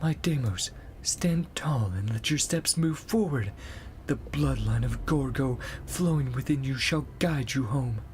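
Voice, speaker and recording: A man voicing a gentle, proud royal mother who solemnly urges her son onward, as in game dialogue. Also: medium pitch, measured pace, a little hiss or hum, voice pushed up, close to an everyday voice. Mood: tender, solemn.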